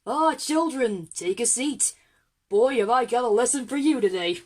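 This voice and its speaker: Woman playing a loud, boastful superhero commander. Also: a little high, animated, normal speed. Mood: proud. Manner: showy, emphatic. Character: boastful, jealous male superhero commander lecturing children.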